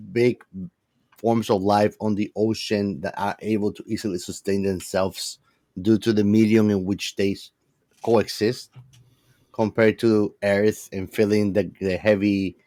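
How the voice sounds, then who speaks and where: A man's voice: on the low side, darkish tone, dry recording. A man co-hosting a podcast in casual discussion, working his way to the point in roundabout phrases. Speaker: podcast co-host.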